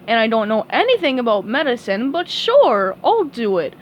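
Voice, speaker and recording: A woman voicing a dim, good-natured male starfish who talks loudly and slow-wittedly. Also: a little high, big pitch swings, a little hiss or hum, rough recording. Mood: cheerful.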